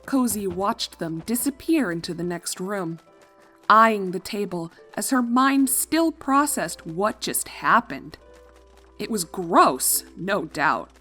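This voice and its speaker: A woman's voice: medium pitch, pushed and bright. Woman narrating a story over faint music. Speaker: fan fiction narrator. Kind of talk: expressive scripted story narration.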